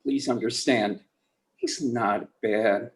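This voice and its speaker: A man plays an old grandfather, humble and ashamed. He talks very slowly in a mid-pitched voice, hesitating as he gently pleads in a drama read. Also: steady tone. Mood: humble pleading. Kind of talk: screenplay table read.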